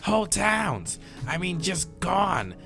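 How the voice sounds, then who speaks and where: A man's voice: sits high, sing-song, slow, creaky, pushed and bright, soft background music, voice pushed up, exaggerated voice. A man voicing a laid-back, friendly surfer-dude moth monster in a visual novel, with slangy, drawling talk. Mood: laid-back, animated. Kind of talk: visual novel character read aloud.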